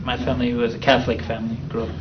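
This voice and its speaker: A man in his thirties from the Chicago area, a guest telling his story in a soft-spoken, thoughtful way. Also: medium pitch, completely flat delivery, rapid-fire. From United States (near Chicago). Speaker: interview guest. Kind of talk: relaxed storytelling interview. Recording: slightly dull sound with faint noise.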